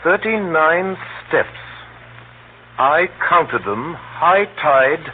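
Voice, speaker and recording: An actor playing an urgent, confident spy-hunter in 1930s radio drama style, with emphatic, deliberate speech. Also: male voice, on the high side, lively intonation. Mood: intent, deliberate. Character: urgent, sharp-minded male amateur spy-hunter, insistent and confident. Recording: scratchy, tinny 1930s radio broadcast.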